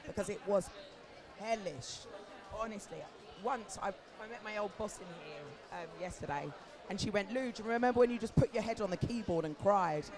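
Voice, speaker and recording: A British woman in her forties, an agency founder talking candidly on a festival panel, her voice hoarse from talking. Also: mid-range voice, a little fast. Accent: British English. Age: 40s. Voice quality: animated, chatty, swears casually. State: hoarse, lost voice. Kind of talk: candid conversational panel talk. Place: outdoor festival stage with crowd. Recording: live event, crowd noise behind.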